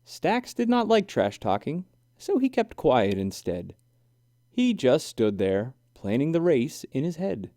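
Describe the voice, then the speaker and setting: A man reading a children's story aloud in an expressive, deliberate, sing-song way. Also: on the high side, dry recording, studio quality. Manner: animated, deliberate, sing-song rhyming delivery. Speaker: children's book reader.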